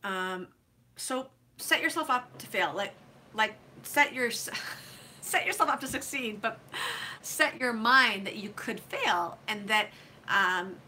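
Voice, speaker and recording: A woman's voice: fairly high pitch, lively intonation, takes it slowly, sharp tone, slight background noise. A woman in her forties with a head cold, chatting casually and congested, with many fillers and restarts, giving writing advice in a vlog. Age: 40s. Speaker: author vlogger.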